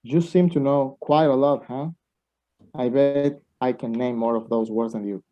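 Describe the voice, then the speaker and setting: A man's voice: medium pitch, unhurried, close to an everyday voice. A man playing a blunt, competitive, lovestruck young student in a radio play, challenging those around him. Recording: dull muffled lo-fi recording.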